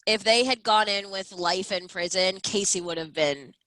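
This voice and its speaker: A woman's voice: medium pitch, moderate pace, a bit bright, no echo, rough recording. A woman in her early twenties co-hosting a casual true-crime comedy chat, blunt, emphatic and foul-mouthed. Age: early 20s. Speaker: podcast co-host.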